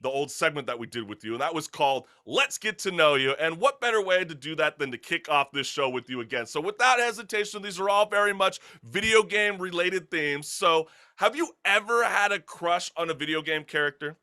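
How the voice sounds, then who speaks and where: Hyped guy hosting a talk show, really bright forceful voice, kinda high, slangy. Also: brisk, hardly any pauses. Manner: animated, slangy.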